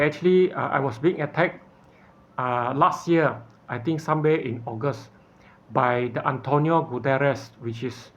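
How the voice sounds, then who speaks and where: A man from Malaysia, speaking in an earnest, halting way in an unscripted personal appeal, often stopping to search for words. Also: medium pitch, highs cut off.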